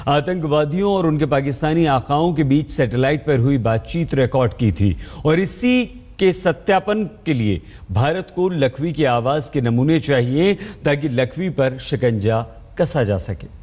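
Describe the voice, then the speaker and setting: A man's voice: fairly high pitch, lively intonation, measured pace, darkish tone, long reverb tail. A man with an Indian accent presenting scripted news as an anchor.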